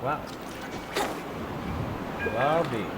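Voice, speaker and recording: A gaming video host giving casual, chatty and expressive commentary while playing. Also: medium pitch, very slow, heavy background noise. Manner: expressive, chatty, reacting aloud to the game. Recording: loud game music behind. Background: video game music.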